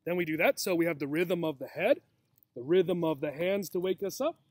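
A man's voice: fairly high pitch, fairly quick, darkish tone, no room sound, studio quality. A man in his forties reading a picture book aloud as a teacher, with simple, encouraging talk for young children. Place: outdoors in a grassy yard. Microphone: camera or laptop mic at about arm's length.

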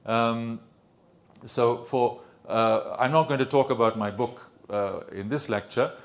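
A man in his fifties with a British accent, giving a conference lecture into a handheld mic, relaxed and hesitant with many ums and restarts. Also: medium pitch, a little slow. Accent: British English. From Sri Lanka. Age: 50s. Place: conference room with projector screen. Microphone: handheld microphone.

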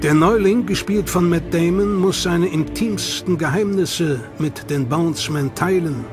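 A man's voice: a little high, unhurried. Serious male documentary narrator over music. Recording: music bed under voice.

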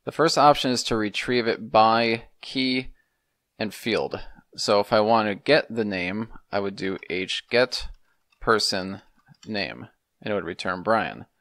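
A man's voice: lowish voice, a little slow, fairly bright tone, dry recording, clean studio sound. A man, explaining things methodically in a semi-casual screencast tutorial. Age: 30s. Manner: methodical, step-by-step instruction. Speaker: programming tutorial host.

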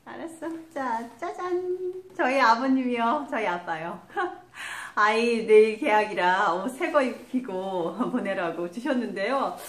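A middle-aged woman vlogging casually at home, talking brightly to viewers and laughing often, recorded on a handheld phone camera held close. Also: fairly high pitch, measured pace, hardly any pauses. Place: home living room, indoors. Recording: echoey room, faint noise.

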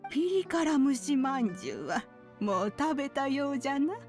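A woman's voice: sits high, very animated, a little mellow, soft background music. A woman voicing a kindly, wise old female master in a Japanese game, speaking in an archaic elderly manner. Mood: amused, knowing. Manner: archaic elderly speech, unhurried sage delivery.

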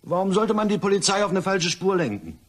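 An evasive gentleman in a crime radio drama, with a husky voice and very lively intonation. He stammers and hedges, formally polite but clearly rattled. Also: high-pitched, fairly quick. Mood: nervously evasive. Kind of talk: German crime radio play acting.

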